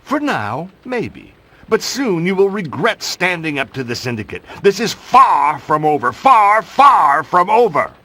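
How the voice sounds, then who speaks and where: Pompous gloating villain, swooping and menacing. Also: male voice, high voice, very animated, measured pace, sharp tone, full character voice. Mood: ominous defiance. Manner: pompous, taunting, theatrical. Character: gloating theatrical villain, grown man, scheming and menacing. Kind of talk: video game cutscene acting.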